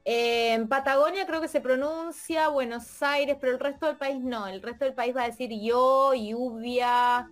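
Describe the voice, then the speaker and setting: A Spanish teacher from Argentina, chatting casually in an interview and thinking aloud with many fillers and restarts. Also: female voice, high-pitched, unhurried, few pauses, faint music behind. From Argentina, Mendoza. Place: lives in Europe.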